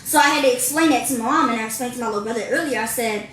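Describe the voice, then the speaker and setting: An eighteen-year-old woman talks in a reflective, rambling way and fills her sentences with "like". Her voice sits high. It comes through an echoey room with faint background hiss. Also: very fast, a bit bright. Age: young adult. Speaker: podcast co-host, daughter. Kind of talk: casual chat.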